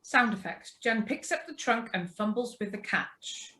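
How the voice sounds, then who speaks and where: A woman's voice: mid-range voice, animated, measured pace, bright, forceful tone. A woman reading out stage directions and sound cues as scripted narration. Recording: live video-call recording.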